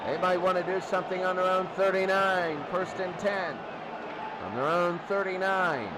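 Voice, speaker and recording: High-voiced man calling football over loud crowd. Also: normal speed. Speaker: play-by-play announcer. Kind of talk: American football radio-style commentary. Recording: loud crowd and noise behind. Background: stadium crowd roar.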